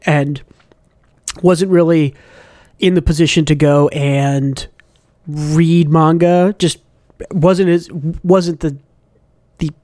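A man with a slightly high-pitched voice hosts a casual podcast. He talks in a rambling, enthusiastic fan's way. The sound is close and dry, with little room to it. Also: a little mellow, dry recording. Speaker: podcast host. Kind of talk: casual manga podcast chat.

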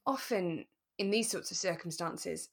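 A woman's voice: lowish voice, measured pace, vocal fry. A woman co-hosting a podcast, chatting casually and reflectively as she reviews a radio drama. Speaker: podcast co-host.

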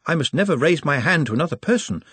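A man's voice: on the high side, animated, quick pace, fairly bright tone, breathy edge, no echo, voice pushed up. A man voicing a skinny young male goblin who speaks in a formal, deferential, apologetic and earnest way for a storybook.

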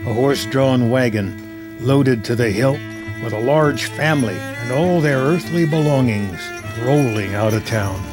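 A man's voice: mid-range voice, unhurried. A man reading scripted screenplay narration as the narrator of a drama. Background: music.

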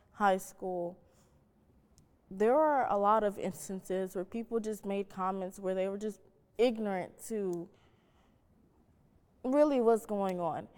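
Unhurried young woman in an echoey hall. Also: medium pitch, a little mellow. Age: young adult. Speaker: student panelist. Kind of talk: reflective panel answer. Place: stage in a reverberant hall. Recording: echoey hall with long reverb.

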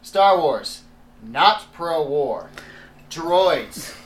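A man's voice: on the high side, lively intonation, extremely slow. A man co-hosting a casual group podcast, adding conversational asides. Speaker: podcast co-host. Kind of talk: casual group chat, reading list items aloud with asides. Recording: echoey room, faint background noise.

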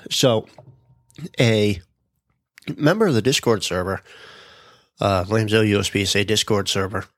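Stuffy-nosed man with a low, dry voice. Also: measured pace, no echo. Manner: relaxed, many ums and uhs, reads out minutes. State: stuffed up from a cold. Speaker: podcast host. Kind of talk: casual solo podcast talk.

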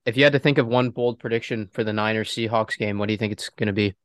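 A young man co-hosting a casual sports podcast while he is ill. His voice is smooth and clear, sitting a little low. He talks in an easygoing, confident way, and the recording is dry with no room echo. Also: fairly even intonation, fast talker. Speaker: podcast co-host.

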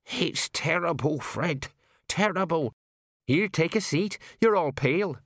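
A man voicing a slow-witted but kindly police sergeant in an audiobook, plain-spoken and puzzled. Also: high-pitched, sing-song, no echo, studio quality, pitched above the performer's own voice. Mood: shocked concern. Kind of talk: audiobook character acting.

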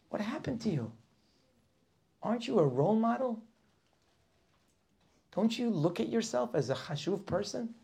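A man's voice: high-pitched, gravelly, warm tone. A man teaching a weekly Torah class, preaching with passion, firing rhetorical questions and sometimes dropping to a near whisper.

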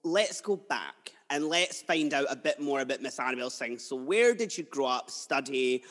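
A man's voice: mid-range voice, lively intonation, normal speed, a bit bright, long reverb tail. A man hosting an interview, chatting enthusiastically with loose, rambling phrasing. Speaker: podcast host. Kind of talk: casual interview chat.

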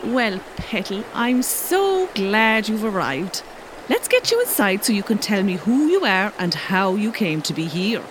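A woman's voice: medium pitch, very animated, a little slow, background noise. A woman playing a doting, sweetly coaxing old cottage woman in a fairy-tale audio drama.

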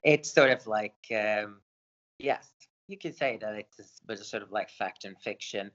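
A man's voice: on the low side, unhurried, a bit bright, lo-fi sound. A man born in Sweden, an author answering interview questions hesitantly with many fillers. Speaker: author guest.

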